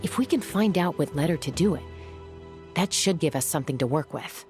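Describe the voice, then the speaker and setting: Low-voiced, cool-headed young woman peacekeeper. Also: animated, brisk, darkish tone, faint music behind. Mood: resolute, businesslike. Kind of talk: video game voice acting.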